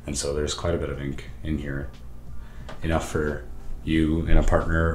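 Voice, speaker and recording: A man in his thirties with a lost, hoarse voice, chatting excitedly and rambling through a show-and-tell vlog from his home study. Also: a bass voice, roomy sound, slight background noise. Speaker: stationery vlogger. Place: home study with lamps and bookshelves.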